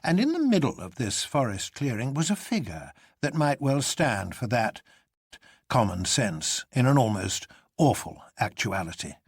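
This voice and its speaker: A man reads literary fiction with dramatic, deliberate phrasing. His mid-pitched voice moves widely in intonation and has a husky texture. The sound is dry and close. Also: very animated, no echo. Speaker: narrator. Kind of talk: dramatic literary audiobook narration.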